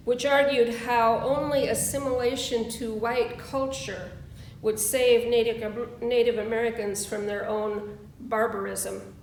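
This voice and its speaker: A woman minister reading and preaching at a church service in a measured, deliberate way. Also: a little high, a little hiss or hum. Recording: roomy, echoing voice.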